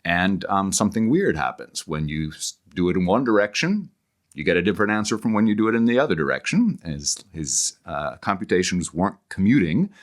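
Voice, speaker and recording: A man speaking as an interview guest, explaining ideas conversationally in a halting, searching, scholarly way with frequent self-corrections. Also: sits low, sing-song. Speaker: guest author.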